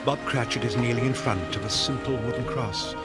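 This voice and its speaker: An actor as a dramatic storyteller, delivering scripted narration for a radio drama. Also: male voice, medium pitch, brisk, loud music behind the voice, natural voice. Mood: grave. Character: dramatic male storyteller narrating a ghostly tale.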